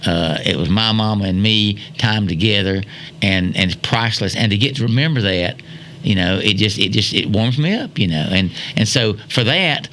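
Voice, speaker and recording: A man's voice: lowish voice, animated, normal speed, fairly bright tone, slight background noise. A man in his seventies with a Southern American accent, telling folksy reminiscences in a rambling way, repeating phrases for emphasis. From Wilkes County, North Carolina, USA. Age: 70s. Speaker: storyteller guest.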